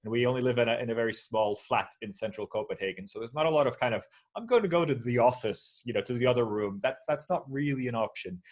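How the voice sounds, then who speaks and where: Male guest from Portugal, relaxed and rambling, on a video call. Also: mid-range voice, quick pace, hardly any pauses. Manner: relaxed, rambling with many fillers and restarts. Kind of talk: casual video call chat. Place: shared office space.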